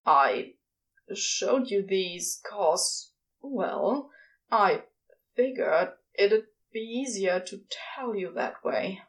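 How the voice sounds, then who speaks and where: A woman voicing a laconic male supernatural being in a story, casual and halting, bashful with tenderness underneath. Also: medium pitch, expressive, poor audio. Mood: halting, nervous. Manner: casual, halting, clipped phrasing. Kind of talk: podfic character dialogue.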